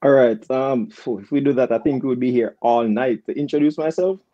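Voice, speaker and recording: A Jamaican-accented man speaks casually as a guest coach on a video call. His voice sits at a middle pitch in a very dry sound, and he tells anecdotes, acting out dialogue and often checking in with "right?". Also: fairly quick, dry recording, muffled recording. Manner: animated retelling with quoted dialogue, frequent "right?" tags.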